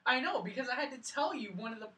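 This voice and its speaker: A woman's voice: high voice, expressive, quick pace, fairly bright tone, recorded from a distance. A young woman bantering casually as a co-host on a group podcast. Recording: echoey room, away from mic.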